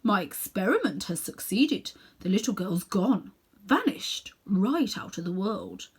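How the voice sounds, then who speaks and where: Woman acting middle-aged gentleman magician, pompous, condescending, sinister, self-important, drawn-out phrasing, storybook villain. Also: medium pitch, sing-song, breathy edge, full character voice. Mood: smug, gleeful. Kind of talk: children's storybook character reading.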